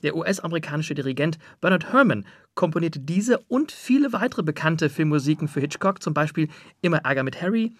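Male radio presenter, fairly high voice, articulate and polite announcements, dry close studio recording. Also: lively intonation, a little fast, no echo. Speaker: radio host, interviewer.

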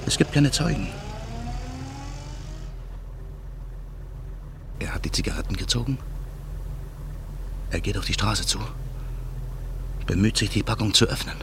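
An actor playing a brooding man bent on revenge, narrating his own thoughts in a radio play with a husky voice. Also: lowish voice, expressive, background noise. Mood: tense. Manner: slow, brooding inner monologue. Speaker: narrator and lead character.

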